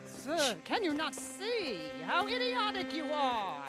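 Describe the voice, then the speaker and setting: High-pitched, defiant suffragette orator. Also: female voice, huge pitch swings, slow pace, heavily acted. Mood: scornful. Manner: declamatory, haughty, emphatic. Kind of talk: video game dramatic acting. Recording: loud music and crowd clamour behind.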